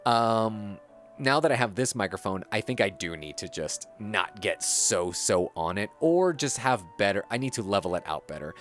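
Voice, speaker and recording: A man's voice: fairly low pitch, animated, moderate pace, a bit bright. A man in casual chat as a gaming streamer, rambling and searching for words, sounding a little stuffy, on a dynamic streaming mic at a home setup. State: slightly stuffy. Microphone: dynamic streaming microphone. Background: faint background music.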